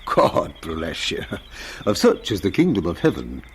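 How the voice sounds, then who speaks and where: An actor playing a devout, kindly preacher in a radio drama, with a folksy, earnest manner. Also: male voice, low voice, wildly swooping pitch, unhurried, slight background noise, heavily acted. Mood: genial, devout. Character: devout, kindly visiting preacher, grown man.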